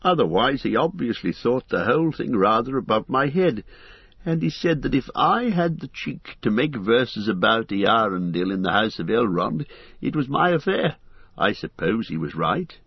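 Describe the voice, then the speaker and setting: Old hobbit poet, chatty and wry, brisk banter, read by a man; husky, dull dry audio. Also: medium pitch, very animated, no room sound.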